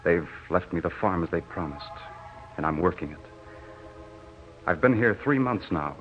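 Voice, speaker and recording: An actor playing a lovestruck, haunted young returned soldier in an old radio drama, earnest and melodramatic. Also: male voice, low-pitched, lively intonation, normal speed, faint music behind. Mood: brooding, devoted. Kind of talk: 1940s radio drama acting. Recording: muffled, hissy old radio recording.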